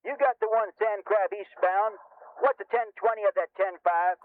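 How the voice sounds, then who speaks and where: An easygoing man on CB radio trades folksy slang. His voice sits high and comes through a thin, narrow band, in a sociable scripted role-play. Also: moderate pace. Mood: cooperative. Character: easygoing sociable male CB hobbyist. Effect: CB radio filter. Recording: tinny band-limited CB radio.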